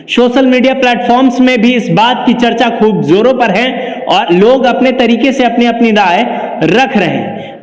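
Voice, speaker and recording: A man presenting a scripted news explainer, narrating and commenting to the viewer. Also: very high voice. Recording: echoey, reverberant room.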